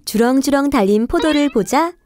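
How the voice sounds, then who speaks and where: A woman narrating a children's fairy tale, telling it clearly for young listeners. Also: sits high, expressive, moderate pace, a little mellow, a little hiss or hum. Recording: faint music behind the voice.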